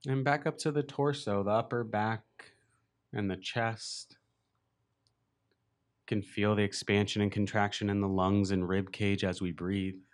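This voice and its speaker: Smooth-voiced man guiding a meditation. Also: on the low side, fairly even intonation. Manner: slow, gentle, unhurried guidance with long pauses. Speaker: meditation teacher. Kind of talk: guided meditation.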